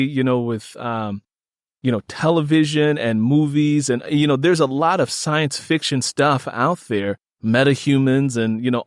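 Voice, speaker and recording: A man's voice: mid-range voice, no room sound, studio quality. A man hosting a podcast interview in an enthusiastic, conversational way, emphatic and given to repeating words for effect.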